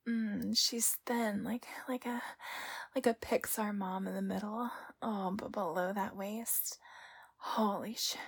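A woman playing a seductive, playful spirit in an intimate adult audio roleplay, breathy and often whispering, with a teasing, lingering delivery. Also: fairly high pitch, heavily acted. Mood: sultry, teasing. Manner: sultry, teasing, lingering hesitations.

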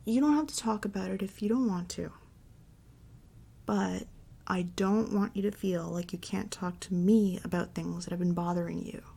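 A woman playing a tender, devoted girlfriend and mommy dom in an intimate ASMR roleplay, breathy and often whispering as she soothes her partner. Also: mid-range voice. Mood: gentle concern, then tender reassurance. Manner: soft, soothing, slow and affectionate.

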